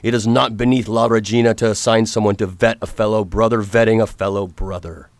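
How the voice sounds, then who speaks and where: An actor playing a calm, resolute undercover agent in a radio drama, speaking with formal period diction. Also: male voice, lowish voice, brisk, no echo, lightly acted. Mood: firm. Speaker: narrator and lead. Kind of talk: radio drama narration and acting.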